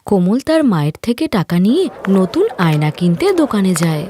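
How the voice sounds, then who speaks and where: A woman's voice: medium pitch, big pitch swings, normal speed, dark tone, slight background noise. A woman narrating a scripted fairy tale as a storyteller.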